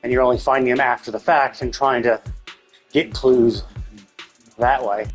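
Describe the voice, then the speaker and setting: A man's voice: medium pitch, fairly even intonation, moderate pace. Man rambling casually over game music. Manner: rambling, thinking aloud, frequent swearing. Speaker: game livestreamer. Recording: game music playing behind the voice. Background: video game music.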